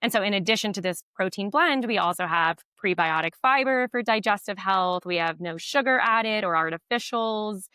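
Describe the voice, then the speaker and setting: Podcast guest, woman, low voice but bright and crisp, dry close mic. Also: moderate pace, few pauses, no room sound. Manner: fluent, explanatory, with frequent fillers. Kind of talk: podcast interview.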